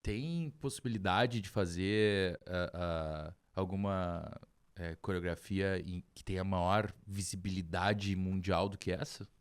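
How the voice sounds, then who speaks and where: A man, hosting a podcast and asking curious questions in a relaxed way. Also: low voice, slow, clear, resonant tone. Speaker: podcast host. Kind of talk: casual interview chat.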